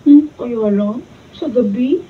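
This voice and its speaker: A very high, girlish voice of a lovestruck young Filipino woman in a radio drama. She is cheerful and stubborn, and the sound is distant and muffled with faint noise. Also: slow pace. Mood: giddy, lovestruck. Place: radio drama recording session, filmed raw.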